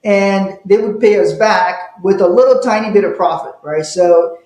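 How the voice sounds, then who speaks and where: A man's voice: sits high, moderate pace, a little mellow. A middle-aged man from Liberia, a guest telling stories in a casual interview and laughing now and then. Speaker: guest, wealth manager. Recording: roomy echo.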